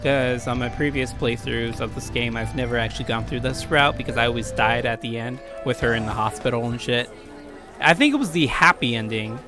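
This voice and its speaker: A man giving casual, rambling let's play commentary with crude joking asides. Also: mid-range voice. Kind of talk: casual game commentary and read-aloud.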